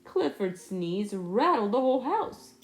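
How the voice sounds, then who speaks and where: A woman narrates a children's storybook at a slow, measured pace. Her pitch moves around a great deal, and she builds up dramatic moments in an animated way. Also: faint music behind. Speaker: storybook reader. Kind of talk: expressive children's read-aloud narration.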